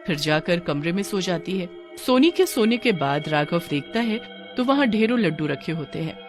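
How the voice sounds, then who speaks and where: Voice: mid-range voice, expressive, normal speed, music in the background. A narrator reading a scripted horror story in a dramatic storytelling style.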